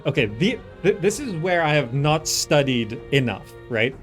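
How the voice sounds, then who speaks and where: Guy doing chill gameplay commentary, thinking out loud, repeats himself, game music in back. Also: on the high side, sing-song, normal speed. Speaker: gamer, channel host.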